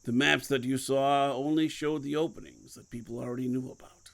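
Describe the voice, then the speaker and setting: Guy acting as gravelly secretive survivor type, deliberate, cryptic, weighed down by guilt, audio drama. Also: mid-range voice, fairly even intonation, lightly acted. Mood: cryptic, assured. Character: secretive, commanding, guilt-burdened older male mentor and survivor. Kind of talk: audio fiction podcast acting.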